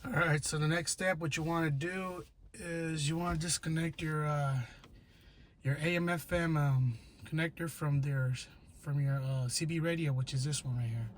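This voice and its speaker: A man giving an unscripted hands-on how-to, explaining step by step in a halting, repetitive way as a tutorial presenter. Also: on the high side, steady tone. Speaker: DIY car tutorial presenter. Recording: noisy amateur recording with clicking.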